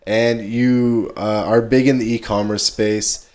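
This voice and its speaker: A man's voice: fairly low pitch, level tone, slow, darkish tone. A man hosting a podcast in a casual, laid-back chat, talking in slangy everyday English. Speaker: podcast host.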